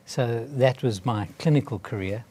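A man's voice: medium pitch, moderate pace, mellow, husky. A man from South Africa, a physician and church health associate, answering interview questions with dry asides.

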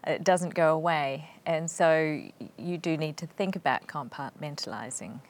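A woman's voice: low-pitched, moderate pace. A middle-aged woman with a New Zealand accent, talking conversationally as an interview guest. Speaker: interview guest, doctor and evangelist. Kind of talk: conversational personal testimony.

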